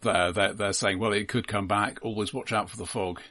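A man's voice: fairly low pitch, fairly even intonation, a little fast, bright, forceful tone. A man co-hosting a podcast in casual, analytical film talk, wry and often restarting his sentences. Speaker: podcast co-host.

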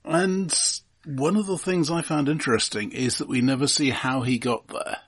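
A man co-hosting a film podcast, talking casually in a thoughtful, halting way and often correcting himself. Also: mid-range voice, husky, dry recording. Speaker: podcast co-host.

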